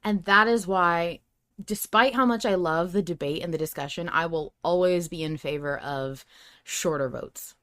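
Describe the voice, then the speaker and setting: A woman hosting a podcast, chatting casually and debating in an animated, playful way. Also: lowish voice, normal speed. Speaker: podcast host. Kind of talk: casual chat about an audio drama.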